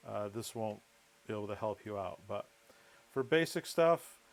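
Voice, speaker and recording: A man's voice: fairly low pitch, lively intonation, moderate pace, slight background noise. A man explaining things step by step in a casual, matter-of-fact way, as in a hands-on how-to video. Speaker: presenter of a how-to electronics video.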